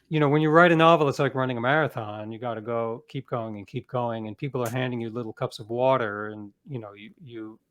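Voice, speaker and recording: A middle-aged man speaking as an interview guest, an author telling his story reflectively and conversationally, leaning on key words and trailing off softer. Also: mid-range voice. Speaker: guest, author and podcast producer.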